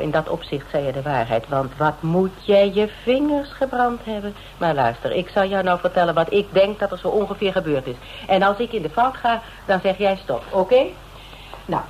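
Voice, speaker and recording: A shrewd woman interrogator with a low voice. She needles and mocks in a conversational radio-drama delivery. The recording has a faint layer of noise. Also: a little mellow, low-quality recording. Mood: taunting, then narrating, needling. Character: shrewd, mocking female interrogator pressing a photographer.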